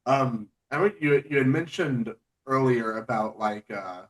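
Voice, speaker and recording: A man's voice: mid-range voice, unhurried. A man chatting casually as a podcast interviewer, asking questions and sharing his own remarks. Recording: distant from the microphone.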